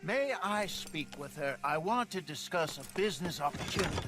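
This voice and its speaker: An actor playing a theatrical, smooth-talking American outlaw con man, florid and wheedling, in a western video game. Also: a little high, expressive, moderate pace, natural voice. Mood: smooth, persuasive. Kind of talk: video game cutscene acting. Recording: music behind the voice.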